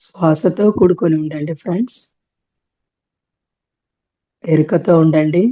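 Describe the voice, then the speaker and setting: Mellow-voiced woman guiding meditation. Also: deep voice, unhurried, slightly rough, rough recording. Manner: gentle instructions in short phrases, often repeated. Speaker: guided meditation teacher. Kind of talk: guided meditation instruction.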